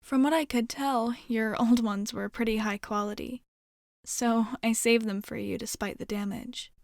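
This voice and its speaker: A woman acting a gentle, curious young alien rescuer in an ASMR roleplay, speaking softly and reassuringly, with some hesitation, close to the microphone. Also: on the high side, steady tone, moderate pace, gravelly, no room sound. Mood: apologetic, curious. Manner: soft, reassuring, hesitant, caring.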